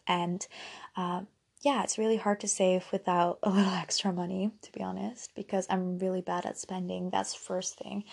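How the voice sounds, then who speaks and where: A young woman whose first language is Dutch talks through her routines in a loose, rambling podcast chat. Her voice has a gravelly fry and a husky, breathy edge. She drifts into giggly asides as she goes. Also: mid-range voice. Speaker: podcast host. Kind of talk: casual solo podcast chat.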